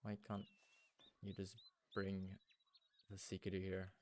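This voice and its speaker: A man's voice: low voice, monotone, a little slow, a little mellow, a little hiss or hum. A man whose first language is German, chatting casually with viewers while streaming a hunting game and thinking aloud. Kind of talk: casual gaming livestream chat.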